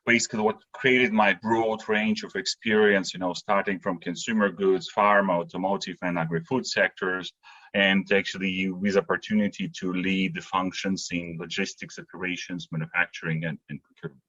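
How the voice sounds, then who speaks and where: A man's voice: sits low, flat delivery, moderate pace, sharp tone, dull audio. A man, giving explanatory answers as a podcast guest. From Latvia. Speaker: podcast guest, industry consultant. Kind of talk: interview answers, explanatory talk.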